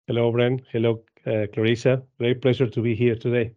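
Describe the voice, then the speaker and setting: A man in his fifties from Argentina with an Argentine Spanish accent, answering interview questions in halting English with many repeats and fillers. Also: mid-range voice, little pitch movement, warm tone, dull audio. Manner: halting, frequent repeats and "uh", laughs easily. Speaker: guest, dairy genetics business director.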